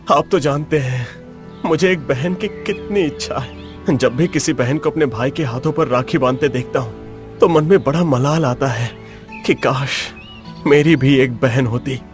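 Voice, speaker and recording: A man's voice: fairly high pitch, a little slow, soft background music, close to an everyday voice. A man voicing an earnest, sorrowful young prince in a cartoon story, speaking formally and with feeling. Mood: wistful. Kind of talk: dramatized cartoon story dialogue.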